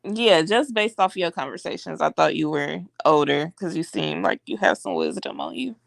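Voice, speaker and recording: Animated woman with a creaky voice. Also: low voice, moderate pace, no echo, studio quality. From Oakland, California. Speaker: podcast speaker asking questions. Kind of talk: casual chat.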